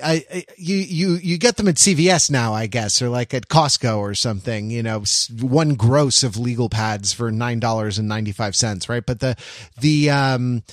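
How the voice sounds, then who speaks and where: A man in his forties chatting casually and reflectively as a podcast panelist, in a halting, rambling, wry storytelling manner. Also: mid-range voice, no echo, studio quality. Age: 40s.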